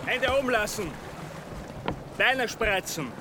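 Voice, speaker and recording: An actor playing a male police officer shouting commands on a boat. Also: high voice, lively intonation, slow. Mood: commanding. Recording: outdoor water noise.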